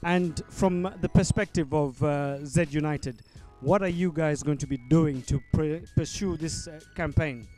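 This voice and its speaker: A man interviewing people at an event, halting with frequent fillers and restarts. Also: on the high side, darkish tone, soft background music. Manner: hesitant, many ums and restarts.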